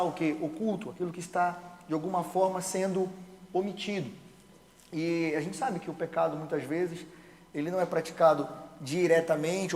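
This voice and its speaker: A man teaching a Sabbath school class, reading scripture and explaining it in a measured way with rhetorical questions. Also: fairly high pitch, darkish tone. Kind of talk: Bible study discussion. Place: church sanctuary. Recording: echoey church hall with long reverb.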